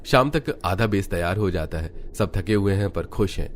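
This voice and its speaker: A man reading scripted Hindi narration for an animated family story as its narrator. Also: sits low, moderate pace. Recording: music behind the narration.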